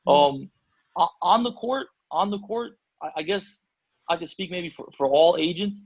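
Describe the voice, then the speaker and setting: A man's voice: high-pitched, expressive, measured pace, husky, no room sound, lo-fi sound. A man from Albania, a basketball agent, answering interview questions casually with many fillers and restarts.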